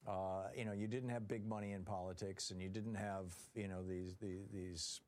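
A man's voice: deep voice, little pitch movement, moderate pace, a little mellow. An older man hosting a call-in radio show, talking conversationally and repeating words as he thinks. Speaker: radio talk show host.

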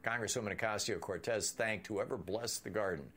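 A man's voice: fairly low pitch, a little fast, fairly bright tone. A man hosting a political talk radio show, delivering punchy, emphatic commentary and taking calls. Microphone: studio microphone.

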